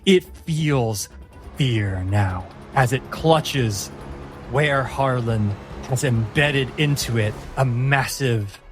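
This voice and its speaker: A man narrating a tabletop fantasy combat as game master, in a deliberate, vivid storytelling manner. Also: medium pitch. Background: music.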